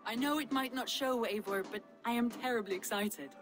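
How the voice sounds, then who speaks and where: Casting: actress as bold Viking adventurer, female, higher-pitched voice, teasing confidence, hearty, dramatic game delivery. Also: fairly quick, soft background music, natural voice. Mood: eager, teasing. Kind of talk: dramatic video game acting.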